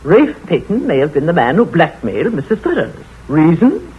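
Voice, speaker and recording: A bossy know-it-all village gossip. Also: female voice, deep voice, sing-song, slightly rough, exaggerated voice. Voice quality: brisk, insistent, bossy. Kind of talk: 1940s radio acting. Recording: tinny old radio broadcast.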